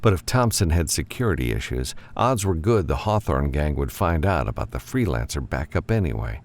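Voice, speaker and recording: A man's voice: a bass voice, big pitch swings, fairly quick. A man reading scripted fiction as an audiobook narrator.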